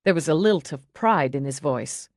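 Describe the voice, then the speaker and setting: A woman's voice: deep voice, animated, normal speed, darkish tone, no echo, studio quality. A woman narrating a novel in a dramatic, scripted audiobook style.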